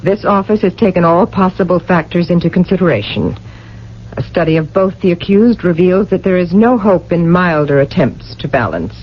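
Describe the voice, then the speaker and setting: A woman plays a coolly authoritative official in an old radio play. The delivery is formal, measured and impassive. The sound is dull, with faint noise behind it. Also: lowish voice, expressive, lightly acted. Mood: cool, stern. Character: coolly authoritative tribunal official in future society. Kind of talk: 1980 radio drama acting.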